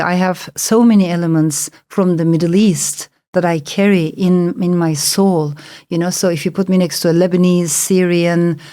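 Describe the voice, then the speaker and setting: Thoughtful Turkish-born woman novelist, lower voice. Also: dark tone. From Turkey. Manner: thoughtful, searching, with frequent fillers and restarts. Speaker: novelist guest. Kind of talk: reflective interview answers.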